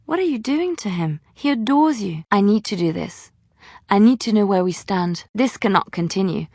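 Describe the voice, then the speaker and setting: Woman doing a gentle young bard lady for a fantasy game, earnest, wistful, pauses to think, dry close sound. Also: medium pitch, no room sound, natural voice. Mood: hurt, firm. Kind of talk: dramatic fantasy game dialogue acting.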